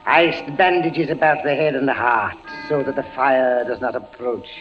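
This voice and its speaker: An actor playing a cruel inquisitor who relishes torment, menacing and theatrical in 1940s radio style. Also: male voice, mid-range voice, fairly even intonation, normal speed, soft background music, natural voice. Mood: gloating. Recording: muffled 1940s radio recording.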